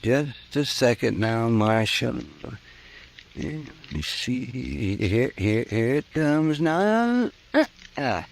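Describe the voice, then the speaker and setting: A man's voice: mid-range voice, sing-song, slight background noise. A man playing a crusty, ghoulishly cheerful old town doctor in a 1950s radio western, folksy and wry. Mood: ghoulish, matter-of-fact. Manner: folksy, wry, drawn-out hemming.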